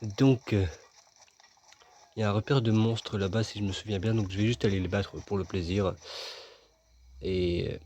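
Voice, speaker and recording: A man's voice: lowish voice, fairly even intonation, moderate pace, pauses often, a little mellow, a little hiss or hum. A man casually commenting as he plays a video game, joking and chatting with himself and his viewers. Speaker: gaming video host. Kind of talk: casual let's-play commentary.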